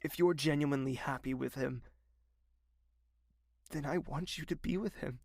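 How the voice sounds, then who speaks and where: A tender, remorseful nobleman in an audio drama, his voice gravelly and a little husky. He speaks slowly and earnestly, with a dry, close studio sound. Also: a little high, no echo. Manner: soft, slow and earnest.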